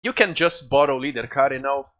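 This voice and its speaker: A man with a Brazilian Portuguese accent, a game streamer chatting casually and excitedly with viewers, swearing freely. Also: mid-range voice, a little fast, muffled recording.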